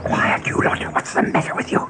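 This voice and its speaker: A man playing a gruff, bossy, scornful rabbit fighter in a radio play, hissing out impatient orders. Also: fairly quick, natural voice. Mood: urgent scorn. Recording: noisy old radio recording.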